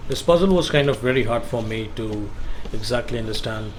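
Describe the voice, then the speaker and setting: Brief: a man talking viewers through a game, mid-pitched, halting and explanatory, with noise behind him. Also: expressive. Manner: halting, explains step by step with fillers. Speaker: gameplay walkthrough narrator. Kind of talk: casual walkthrough commentary.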